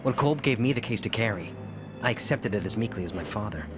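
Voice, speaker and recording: An actor playing a grown man recalling his boyhood, in reflective, measured first-person drama narration. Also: on the low side, animated, over music, close to an everyday voice. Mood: wistful.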